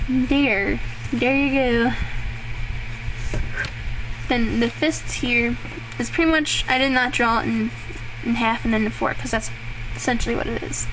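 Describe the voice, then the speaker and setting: A young woman talks through a drawing lesson in a slightly high voice, rambling and self-deprecating. Her voice turns a little raspy at times. A clear hiss of noise sits under the home recording. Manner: rambling and self-deprecating, with frequent fillers and laughs. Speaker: drawing tutorial host. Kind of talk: casual drawing tutorial.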